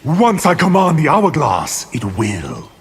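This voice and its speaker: An actor voicing a cold, scheming, arrogant sorcerer in a video game, haughty and deliberate. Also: male voice, on the high side, wildly swooping pitch, husky, exaggerated voice. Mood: menacing. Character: cold, scheming, arrogant male sorcerer, power-hungry villain. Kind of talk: video game villain dialogue.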